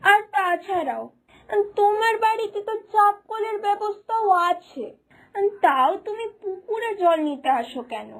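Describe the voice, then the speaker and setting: A woman's voice: very high-pitched, animated, measured pace, exaggerated voice. A woman voicing Lata-di, a nosy village woman who scolds and nags her neighbour in a cartoon. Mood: scolding. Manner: scolding, nagging. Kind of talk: cartoon voice acting.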